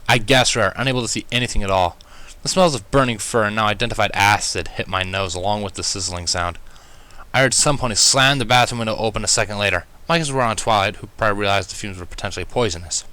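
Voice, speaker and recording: A man reading a story aloud as narrator, with expressive delivery. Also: lowish voice, fast, smooth, dry recording. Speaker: fan fiction reader.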